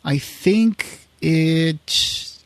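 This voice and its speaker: Man chatting, higher-pitched voice, dry sound. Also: very slow, no room sound. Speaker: podcast host. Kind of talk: casual podcast chat about horror collectibles.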